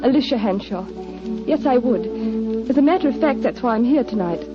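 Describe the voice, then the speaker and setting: A woman's voice: a little high, expressive, fairly quick, natural voice. An actress playing a guarded, well-bred young lady seeking help in a 1940s radio drama, formal in manner. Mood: polite, earnest. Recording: dull 1940s radio broadcast with music.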